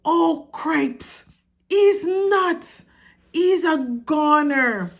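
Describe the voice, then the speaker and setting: A woman voicing a frantic young male mouse with a very high, excited voice. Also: very slow, exaggerated voice. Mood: panicked.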